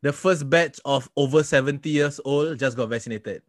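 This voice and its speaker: A man's voice: mid-range voice, normal speed, no echo, clean studio sound. A young Singaporean man hosting a podcast in casual, joking chat, laughing often. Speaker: podcast host.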